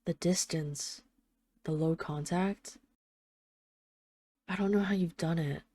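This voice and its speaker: A woman playing an apologetic, lovesick mafia boss in hiding, speaking in an earnest, halting, confessional way, as in an intimate audio roleplay phone call. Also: fairly low pitch, dark tone, dry recording, studio quality. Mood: regretful, vulnerable.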